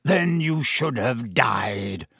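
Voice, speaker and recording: Male reader as vengeful wizard: rough gravelly snarl, bitter accusations, swooping intonation, fantasy audiobook. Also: medium pitch, very animated, dry recording, studio quality, exaggerated voice. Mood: roaring fury. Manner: accusing, forceful, deliberate.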